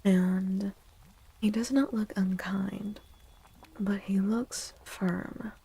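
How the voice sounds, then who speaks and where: A woman streamer giving a soft-spoken ASMR tarot reading, gentle and unhurried, pausing between phrases and often slipping into a breathy whisper. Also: mid-range voice, steady tone.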